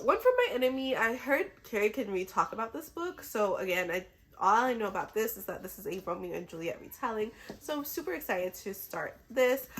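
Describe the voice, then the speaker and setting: A woman's voice: medium pitch, fairly bright tone. Chatty woman, casual book vlogger, slightly sick. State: a little sick. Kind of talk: casual chat to camera.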